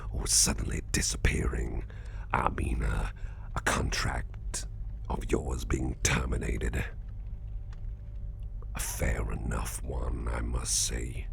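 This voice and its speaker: A man acts out a menacing, suspicious boss in a whispered ASMR roleplay. His voice sits extremely low and crackles with thick fry. He takes his time, probing with cool courtesy and musing hums. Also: level tone, slow, pauses often, full character voice. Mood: coolly menacing, bargaining. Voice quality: breathy, gravelly whisper. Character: suspicious, menacing male boss interrogating an employee, coolly courteous.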